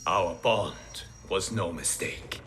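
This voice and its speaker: A calm, noble god of fire in a cinematic video game. His delivery is slow, measured and earnest. Music runs clearly behind the voice. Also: low-pitched. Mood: solemn, regretful. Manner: measured, solemn. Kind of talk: cinematic fantasy game acting.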